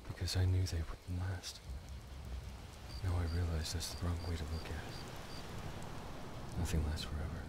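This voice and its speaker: A man's voice: low-pitched, lightly acted. An actor playing a weary former killer and devoted father in a video game, subdued and reflective. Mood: tender, resigned. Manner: subdued, reflective, at times near whispering. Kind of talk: dramatic game dialogue. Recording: noticeable background noise.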